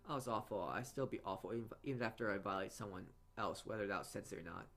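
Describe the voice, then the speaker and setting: A man's voice: lowish voice, quick pace, vocal fry, a bit bright. A man reading visual novel narration aloud as a let's play host, stumbling and repeating words as he goes. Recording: game music behind the voice. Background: game music.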